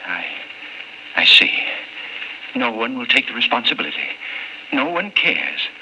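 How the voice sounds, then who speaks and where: A man's voice: mid-range voice, sing-song, a little slow, sharp tone, dull audio, heavily acted. A man acting a meek, aging, lonely clerk in an old-time radio drama, hesitant, stammering and pleading. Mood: agitated. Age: older. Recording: hissy old radio recording.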